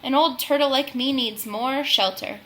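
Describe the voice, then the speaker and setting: A woman's voice: sits high, moderate pace, fairly bright tone, slight background noise, low-quality recording. A woman voicing a fussy, stubborn old male turtle in a children's storybook. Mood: fretful.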